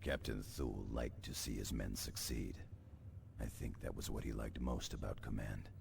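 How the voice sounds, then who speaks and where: An actor playing a grim, stoic veteran space marine in a video game, grave and measured. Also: male voice, a bass voice, creaky, a little hiss or hum, heavily acted. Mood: reflective.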